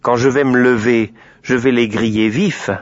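A man's voice: mid-range voice, steady tone, moderate pace, no echo, highs cut off, lightly acted. A man voicing a boastful, menacing male sun who sounds smug.

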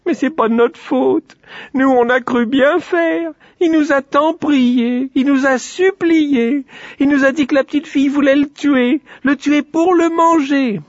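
A man's voice: sits high, lively intonation, a little slow, dark tone, husky, dry recording, highs cut off, pitched above the performer's own voice, exaggerated voice. A man voicing timid, guilty little girls in a children's story, with a childish, hesitant manner. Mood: tearful pleading.

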